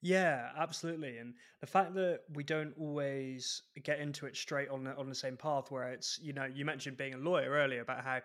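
A man's voice: medium pitch, steady tone, fairly quick, few pauses, a bit bright. A man hosting a podcast, talking in a chatty way and laughing easily. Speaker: podcast host. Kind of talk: casual podcast interview.

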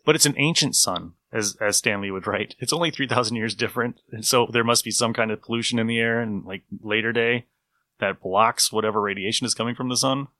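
A man co-hosting a casual review podcast, explaining in a geeky, animated way and repeating himself for emphasis. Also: on the low side, fairly quick, dry recording. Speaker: podcast co-host. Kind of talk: casual review chat about old radio episodes.

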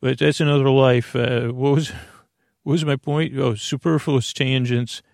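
A man hosting a bedtime podcast in a slow, creaky voice, wandering through gentle tangents and restarting his sentences. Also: medium pitch, steady tone, no room sound, clean studio sound. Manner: slow, meandering, self-interrupting, gently joking. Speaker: sleep podcast host. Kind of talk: rambling bedtime monologue.

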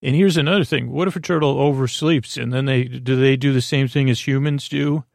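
A man with a creaky voice hosting a bedtime podcast in a halting, meandering, lulling improvised monologue. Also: medium pitch, fairly even intonation, darkish tone, no echo, studio quality. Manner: meandering, halting, trails off into tangents; soothing, lulling. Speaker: podcast host.

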